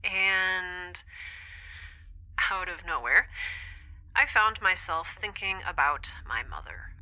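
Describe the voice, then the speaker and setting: A woman's voice: on the low side, sing-song, takes it slowly, pushed and bright, heavily acted, poor audio. A woman playing an anxious young scientist in an audio drama, thinking aloud in a hesitant, confessional monologue. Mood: jittery, musing. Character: young woman scientist, anxious, introspective, rambling recorded diary.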